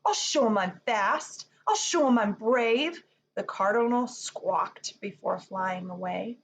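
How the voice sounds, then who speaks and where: A woman reading a rhyming children's picture book aloud in an expressive storytelling manner. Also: medium pitch, takes it slowly. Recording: faint background noise.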